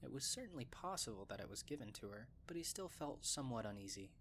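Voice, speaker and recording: A man's voice: medium pitch, very animated, quick pace, a bit bright, slightly rough. A man narrating a scripted story in an audiobook style.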